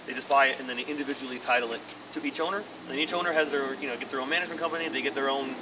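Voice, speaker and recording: A man explains condo conversions on a property tour, rambling and circling back over his words. He talks at a fast clip. The voice is picked up from a distance, with plenty of background noise. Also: mid-range voice. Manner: rambling, repeats and restarts words. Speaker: real estate agent explaining condo conversions. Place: apartment complex outdoors, then a condo unit.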